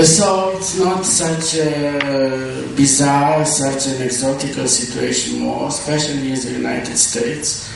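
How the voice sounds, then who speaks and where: Man, gravelly, echoey hall. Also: mid-range voice. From Romania. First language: Romanian. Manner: measured, deliberate, thoughtful. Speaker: writer, conference guest. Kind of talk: onstage conversation. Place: conference hall. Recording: echoey hall, distant mic, audible noise.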